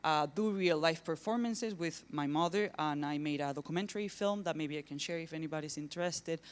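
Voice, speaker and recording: A woman's voice: low voice, brisk. Earnest Spanish-accented woman presenting. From southern Spain. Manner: earnest, searching for words. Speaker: artist and academic researcher. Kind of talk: conference presentation. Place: lecture event.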